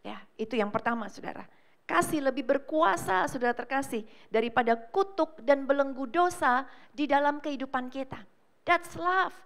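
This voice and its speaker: A woman pastor preaching a sermon to a church service, speaking emphatically to the congregation and swinging between loud declarations and soft asides. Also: high-pitched, moderate pace. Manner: emphatic preaching, often addressing listeners as brothers and sisters.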